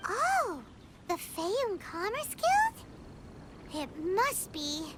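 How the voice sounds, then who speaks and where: Casting: tiny girlish game sidekick, extremely high squeaky voice, swooping intonation, curious and excitable. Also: very noisy, exaggerated voice. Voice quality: squeaky, childlike. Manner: exclamatory, inquisitive, drawn-out words. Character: tiny excitable floating fairy companion, girlish and cute. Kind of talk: video game voice acting.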